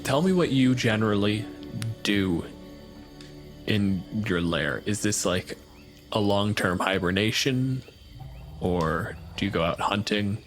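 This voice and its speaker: A man's voice: lowish voice, animated, slow, long pauses, soft background music. A man running a tabletop game as game master, asking casual, inquisitive questions.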